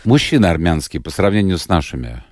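A man hosts a radio interview, questioning his guest in a lively, playful way. His voice sits very low and swings widely in pitch. The studio mic sound is dry and close. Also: fast, dry recording. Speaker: radio host. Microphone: studio microphone.

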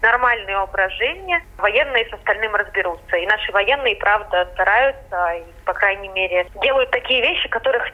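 A woman's voice: mid-range voice, lively intonation, fairly quick, few pauses. A woman in her twenties, a guest in a phone interview, speaking in an articulate, composed way. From Latvia. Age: 20s. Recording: tinny phone line.

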